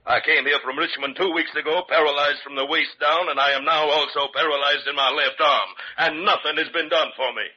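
Cantankerous old Southern colonel, pompous and husky, dull vintage radio sound. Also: male voice, a little high, fairly even intonation, fairly quick, few pauses, pushed and bright, heavily acted. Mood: indignant, pompous. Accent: American Southern. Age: older. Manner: pompous, formal, indignant. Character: proud, cantankerous older Virginia colonel, paralysed patient. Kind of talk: 1940s radio drama acting.

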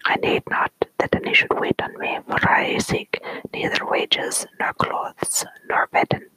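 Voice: measured pace, pushed and bright, dry recording, rough recording. A storyteller whispering the lines of a strange, hairy little brownie who offers to work for nothing, in old-fashioned speech. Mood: earnest offering.

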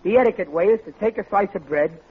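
A man's voice: sits high, fast talker, close to an everyday voice. An actor playing a blustering, thickheaded American husband and father who speaks in folksy bad grammar, in 1940s radio sitcom style. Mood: pompous, grumbling. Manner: folksy, mangled grammar, indignant. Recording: dull, noisy old radio recording.

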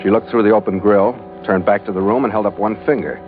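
A man's voice: lowish voice, fairly even intonation, fast, natural voice. A man acting as a hardboiled American adventurer in a 1940s radio drama. He tells his own story in terse, sardonic lines. The sound is muffled and old, with prominent music underneath. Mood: tense. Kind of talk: 1940s radio detective acting, first-person narration.

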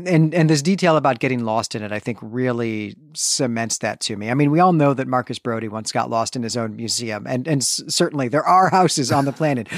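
A man's voice: mid-range voice, dry recording. Man co-hosting a book podcast, dry close sound. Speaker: podcast co-host. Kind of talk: conversational book discussion.

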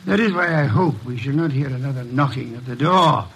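Actor as pompous, gravelly detective. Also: male voice, medium pitch, brisk, a bit bright, a little hiss or hum, close to an everyday voice. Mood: commanding, grave. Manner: pompous, formal, precise diction. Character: imperious, erudite male detective in command of suspects. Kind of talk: classic radio mystery acting.

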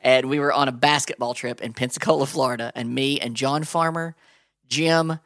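A man's voice: mid-range voice, fairly even intonation, normal speed, a bit bright, dry recording, clean studio sound. A man co-hosting a casual music podcast, chuckling often and telling stories in an easygoing way. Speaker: podcast co-host. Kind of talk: casual chat and anecdotes.

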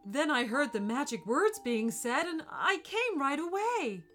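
A woman voicing a kind, helpful unicorn in a storybook reading, with a warm, fussy, motherly manner. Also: high-pitched, sing-song, a little slow, faint music behind, voice pushed up, exaggerated voice. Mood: concerned.

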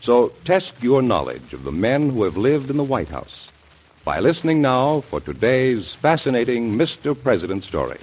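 A man's voice: mid-range voice, big pitch swings, moderate pace, breathy edge. A man announcing on 1940s radio, reading scripted copy in an earnest, formal, emphatic style. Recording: muffled vintage radio recording.

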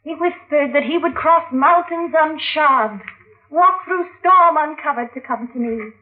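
A woman's voice: high voice, measured pace. An actress playing a melodramatic, lovelorn woman giving testimony in an old-time radio drama, with theatrical, coy delivery. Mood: tender, coy. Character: melodramatic, lovelorn woman defendant on the witness stand. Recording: muffled, narrow-band old radio recording.

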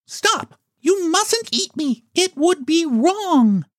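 Man doing a little girl, shrill and insistent, pitched way up, storybook reading. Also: big pitch swings, slow pace, dry recording, studio quality, heavily acted. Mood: frightened. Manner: shrill and scolding. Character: frightened, then bossy little girl.

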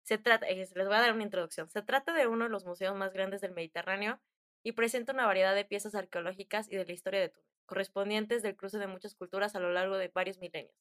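A woman's voice: mid-range voice, fast. A young adult woman with a Mexican accent telling stories casually, in a chatty, slangy way with acted-out bits of dialogue. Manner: chatty, informal, slangy, animated retelling with quoted dialogue. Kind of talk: casual storytelling chat.

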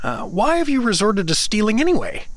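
A man's voice: high-pitched, wildly swooping pitch, a little fast, dry recording. A man playing an affable, flustered suburban husband stranded in a fantasy world, talking in a casual, jokey, hesitant way in a comedic audio drama. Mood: curious, earnest.

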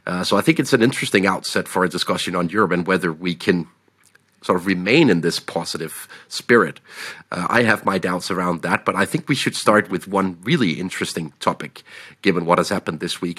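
A man with a Danish accent co-hosting a podcast, speaking in a measured way with frequent pauses. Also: low-pitched, bright, forceful tone, husky. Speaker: podcast co-host, analyst. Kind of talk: casual market discussion.

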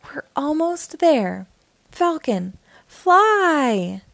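A woman's voice: sits high, sing-song, extremely slow, gravelly, a bit bright, no room sound, pitched above the performer's own voice, exaggerated voice. A woman voicing a brave, upbeat young male monster truck hero in an eager, exclamatory storybook manner. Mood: eager, excited.

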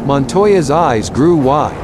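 A man's voice: fairly high pitch, expressive, slow, heavy background noise. A man narrating a scripted dramatic story as the narrator of an audio drama. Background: loud music and effects.

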